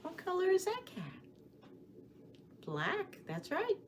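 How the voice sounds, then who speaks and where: A woman reading stories and rhymes aloud for young children as a storytime host, with a sing-song, expressive delivery, often putting questions to the children. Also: very high voice. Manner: addresses children directly with questions and pauses for answers. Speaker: children's librarian hosting storytime. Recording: clearly audible background noise.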